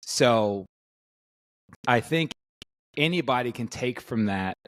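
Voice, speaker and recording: A man's voice: medium pitch, lively intonation, takes it slowly, a bit bright, no echo, studio quality. A man who is a fitness coach, talking as a podcast guest in an earnest, reflective way, often restarting his sentences. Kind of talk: podcast discussion.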